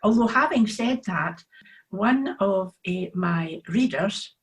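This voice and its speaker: A woman's voice: medium pitch, expressive, slow. A woman with a Scottish accent, chatting casually as an author being interviewed.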